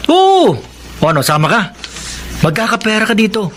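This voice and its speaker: Sly, high-pitched male petty crook. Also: wildly swooping pitch, moderate pace, fairly bright tone, faint music behind, exaggerated voice. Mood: coaxing. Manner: wheedling, coaxing. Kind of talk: Tagalog radio drama acting.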